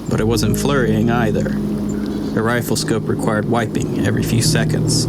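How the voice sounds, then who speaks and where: A man narrating an audiobook in an even, descriptive storytelling manner. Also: fairly low pitch, vocal fry, heavy background noise. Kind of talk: scripted narration.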